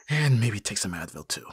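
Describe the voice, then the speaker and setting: A man acting a flustered young best friend in love in an intimate ASMR roleplay, husky and breathy, with a hesitant, stammering delivery. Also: lowish voice, fairly bright tone, dry recording, clean studio sound, full character voice. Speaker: audio roleplay voice actor.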